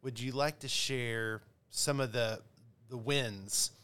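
A man hosting a nursing podcast, asking measured, multi-part questions. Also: mid-range voice, fairly even intonation, a bit bright, clear, resonant tone. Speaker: podcast host.

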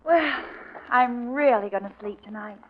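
An actress playing a knowing, pert American assistant to a detective in a 1940s radio drama. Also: female voice, on the high side, unhurried, slight background noise, lightly acted. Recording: muffled old radio recording.

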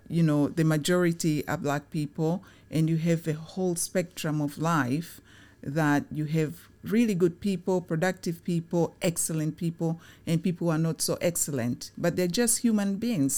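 A middle-aged woman from Tanzania, answering interview questions in a reflective, unhurried way with frequent fillers and restarts. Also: low voice, darkish tone. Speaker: guest, chief operating officer.

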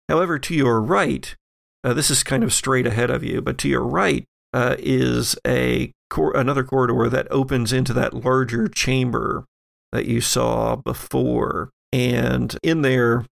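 A man running a tabletop game as game master, narrating in a halting, deliberate way. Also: medium pitch, creaky.

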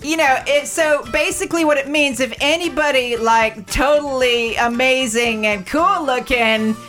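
A woman's voice: sits high, measured pace, a bit bright, soft background music. A woman co-hosting a radio show in casual chat, with a lisp and a gushing, chatty way of talking.

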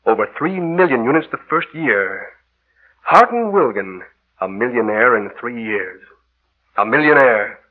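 A man's voice: medium pitch, expressive, unhurried. A man playing a greedy, callous businessman, curt and self-satisfied, in 1940s radio drama style. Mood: smug, gloating. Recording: dull old radio recording.

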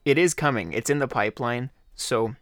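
A man hosting his own podcast, talking casually in a slightly hoarse voice, rambling with fillers. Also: medium pitch, moderate pace, fairly bright tone, dry recording, studio quality, faint music behind. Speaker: podcast host. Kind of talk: casual solo podcast talk.

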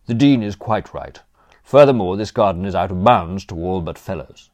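A man voicing a gaunt, elderly college don in an audiobook, sharp, suspicious and challenging. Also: sits low, expressive, fast. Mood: angry. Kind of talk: audiobook character dialogue.

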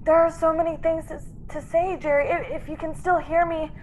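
A woman playing a brave young stowaway in a radio play, speaking tenderly and haltingly with earnest, tearful melodrama. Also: very high voice, little pitch movement, slight background noise. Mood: sorrowful farewell. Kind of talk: classic radio drama acting.

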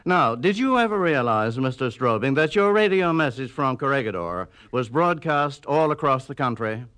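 A man's voice: mid-range voice, animated, normal speed, a bit bright. A man interviewing in a formal 1940s radio style, with a dramatic, emphatic announcer's delivery.

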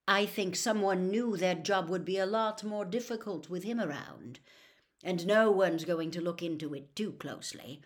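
A woman voicing a troubled adult male wizard who gives earnest, hurried warnings in a storybook reading. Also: medium pitch, a bit bright, pitched above the performer's own voice, natural voice.

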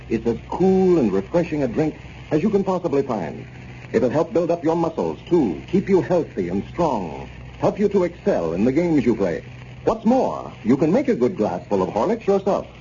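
Casting: 1930s American male radio announcer, mellow but very animated, faint background hiss. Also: mid-range voice. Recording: muffled, noisy 1930s radio recording.

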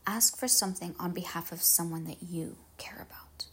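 A woman leading a guided meditation in measured, solemn phrases with pauses, her husky voice trailing into breathiness. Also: lowish voice, whispered.

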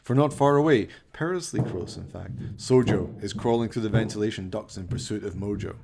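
A man with a gravelly voice narrating a dramatic scripted radio play. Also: fairly low pitch, fairly quick, noisy background.

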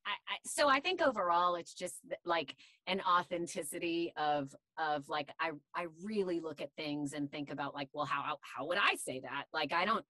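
A woman voice actor with a deep voice, talking candidly and chattily in an interview, with many fillers. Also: moderate pace, few pauses, bright, forceful tone, dry recording. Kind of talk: casual interview chat.

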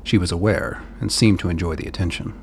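A man's voice: low-pitched, steady tone, quick pace, creaky, a little mellow, a little hiss or hum. A man narrating a scripted radio play as its storyteller.

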